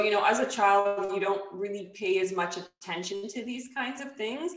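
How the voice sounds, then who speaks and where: A woman's voice: mid-range voice, reverberant, rough recording. A woman in her thirties, born in Poland, talking as a podcast guest on a video call, thoughtful and full of fillers and restarts. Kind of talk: casual video-call interview. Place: home room, plain light wall. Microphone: desk microphone on a video call.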